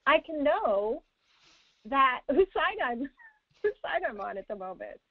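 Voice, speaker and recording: Woman caller, fairly high voice, casual reflective chat, thin telephone sound. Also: big pitch swings, measured pace, no echo. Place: phone call into a live show. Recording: thin, dry telephone line.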